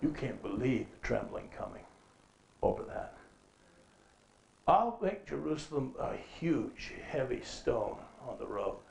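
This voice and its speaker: A man's voice: medium pitch, sing-song, measured pace, vocal fry, long pauses, darkish tone, a little hiss or hum. A middle-aged man preaching from a lectern on stage at a conference, emphatic and full of rhetorical questions, his voice rising when he quotes scripture. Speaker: conference speaker. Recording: echoey hall.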